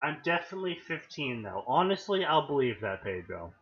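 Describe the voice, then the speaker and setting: A man's voice: medium pitch, very animated, brisk. Wry man on a dull lo-fi mic. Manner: rambling, wry, dry jokes. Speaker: co-host reading fanfiction. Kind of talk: casual banter on a livestream.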